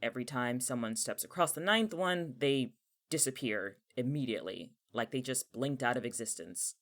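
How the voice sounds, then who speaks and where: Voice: medium pitch, expressive, normal speed, a bit bright. An actor in a naturalistic audio drama playing an exasperated, protective young person with a casual, sardonic, blunt way of talking. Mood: urgent, exasperated. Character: exasperated, protective young person who knows the town's dangers.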